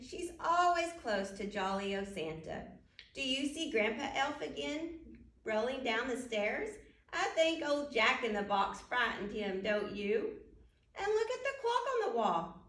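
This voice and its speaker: Higher-voiced woman reading a children's story, roomy echo. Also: fairly bright tone. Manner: addresses young listeners directly with questions. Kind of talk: children's storytime read-aloud. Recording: roomy echo, distant microphone.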